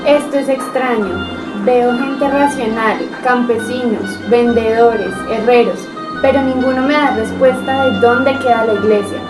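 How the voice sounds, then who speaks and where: A woman's voice: a little high, unhurried, close to an everyday voice. A woman playing a polite, puzzled young traveller in an amateur radio drama, narrating her search theatrically. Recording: echoey, distant, loud music behind.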